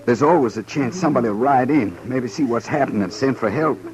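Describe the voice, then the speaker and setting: A man's voice: mid-range voice, fast, lightly acted, poor audio. An actor playing a worried, plain-spoken captive townsman in an old western radio drama. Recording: old radio with crowd behind.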